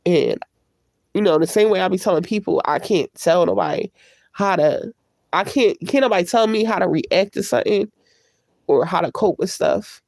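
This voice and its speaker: A woman's voice: lowish voice, moderate pace, vocal fry, a little mellow, no echo, studio quality. A woman with a congested, stuffy voice, talking candidly and at length to her livestream viewers through a tablet. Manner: candid, rambling and reflective. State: congested from a cold. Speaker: livestream host. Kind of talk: casual livestream chat.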